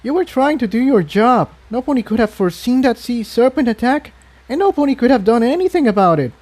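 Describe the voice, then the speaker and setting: A man's voice: extremely high pitch, lively intonation, a little fast, a little mellow, pitched above the performer's own voice. A man voicing a young female pony in a storybook, anxious, tender and supportive. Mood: tender, reassuring.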